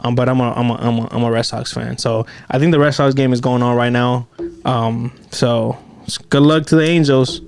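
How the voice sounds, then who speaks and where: Joking podcast host with a mixed accent. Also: male voice, medium pitch, steady tone, normal speed, gravelly, clear, resonant tone. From California. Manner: joking, profane, repeats "okay, okay". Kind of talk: casual chat.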